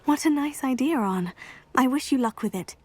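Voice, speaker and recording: A woman's voice: high-pitched, lively intonation, normal speed, no echo. A young woman playing a polite, kind student witch in a video game. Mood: kind, pleased. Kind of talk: acted video game dialogue.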